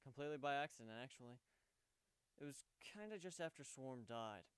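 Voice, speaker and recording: A man voicing a gentle, wry male pegasus pony who speaks matter-of-factly in a story reading. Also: medium pitch, lively intonation, fairly quick, clean tone, no echo, natural voice. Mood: subdued, explaining.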